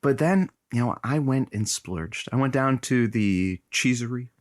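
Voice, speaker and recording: Man playing an excitable, needling radio producer with swooping pitch. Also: lightly acted. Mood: feigned innocence. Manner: halting announcer patter, sarcastic, theatrical outbursts. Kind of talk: comedic audio drama acting.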